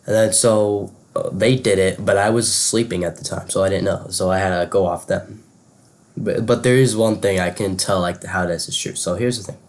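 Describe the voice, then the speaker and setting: A man's voice: sits low, fairly even intonation, normal speed, darkish tone. A young man chatting and telling stories casually, in loose slangy speech full of "bro" and "like". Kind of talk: casual spoken storytelling and chat.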